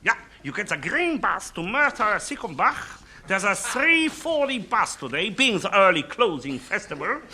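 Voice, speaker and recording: Excitable, very high-voiced German bird-watcher. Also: male voice, normal speed, exaggerated voice. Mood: helpful, busy. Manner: formal, polite, quaintly muddled English. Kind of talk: British radio comedy acting.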